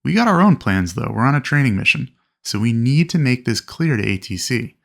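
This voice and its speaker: Creaky-voiced man giving methodical instruction. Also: mid-range voice, very animated, a little fast. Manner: clear, methodical explaining. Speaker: flight instructor presenting a training video. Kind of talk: instructional narration with acted radio calls.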